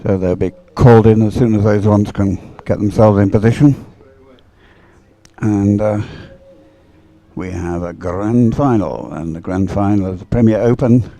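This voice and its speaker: A man's voice: on the low side, a little slow, dark tone. A man giving unscripted live sports commentary in a hesitant, rambling way, as if thinking aloud.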